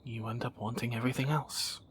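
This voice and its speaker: A man's voice: mid-range voice, steady tone, fairly quick, fairly bright tone, slight background noise, lightly acted. A man playing a tender, wry young thief in a romantic audio roleplay, teasing and intimate, often dropping toward a whisper. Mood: wry, musing. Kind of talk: romantic audio roleplay, one side of a dialogue.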